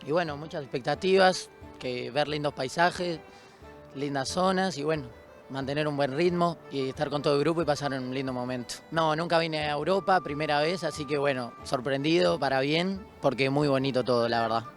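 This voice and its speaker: Young man, Uruguayan accent, friendly, relaxed. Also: a little high, normal speed, soft background music.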